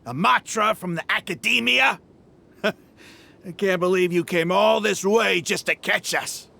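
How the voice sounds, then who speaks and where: Gravelly, taunting mercenary boss. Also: male voice, sits high, measured pace, bright, forceful tone, heavily acted. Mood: mocking. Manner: taunting, theatrical drawl. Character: brash, scornful desert mercenary gang leader. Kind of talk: video game villain acting.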